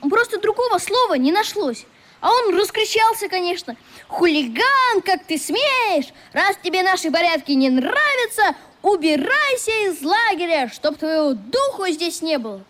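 Earnest, high-voiced schoolboy. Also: female voice, big pitch swings, normal speed, a bit bright, heavily acted. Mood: earnest, defensive. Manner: frank, boyish, slightly defensive. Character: earnest, proud, stubborn young schoolboy. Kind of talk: radio play acting.

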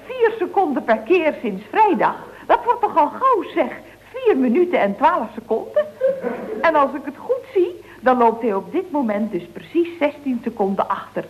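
A dull-sounding vintage radio comedy recording of a young office telephonist. She is chatty and scatterbrained, with a high voice that leaps up and down as she rambles and exclaims. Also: very animated, moderate pace. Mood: scatterbrained, amused. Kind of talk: 1970s radio comedy acting.

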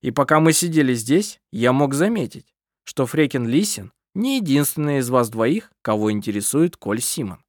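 A shrewd police chief played by the reader sets out his reasoning calmly and with measured care. The intonation stays lively, and the recording is close and dry. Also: medium pitch, dry recording, close to an everyday voice. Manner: calm, measured, sly. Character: shrewd calm rural police chief, man.

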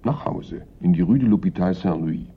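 Evasive workman, deep husky voice. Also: normal speed. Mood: offhand. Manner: offhand, colloquial, evasive. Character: evasive, simple Italian workman in his thirties, stubbornly nonchalant. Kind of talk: radio play acting. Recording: muffled, narrow-band old radio recording.

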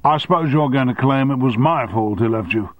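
A man playing a blustering, domineering, short-tempered male boss who shouts threats, on a dry, slightly dull recording. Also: mid-range voice, fairly quick, dry recording, pitched above the performer's own voice, close to an everyday voice. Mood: angry.

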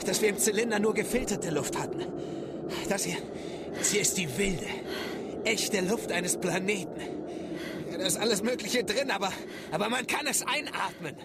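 A man acting in a German radio drama as a brash, impulsive space traveller, with halting, excited repetitions. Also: high-pitched, very noisy, natural voice. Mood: excited, amazed. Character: brash, impulsive male space traveller stranded on alien planet.

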